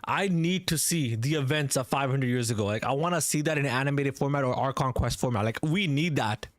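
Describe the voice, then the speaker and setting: A man's voice: mid-range voice, a little fast. A man doing casual, slangy livestream commentary while playing a game, reacting as he goes. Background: game music.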